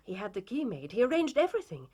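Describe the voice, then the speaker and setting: A woman's voice: on the high side, sing-song, fast, darkish tone, a little hiss or hum. An actress playing an anguished, proud titled Victorian lady in a period drama, formal and emotionally intense. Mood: tense recounting.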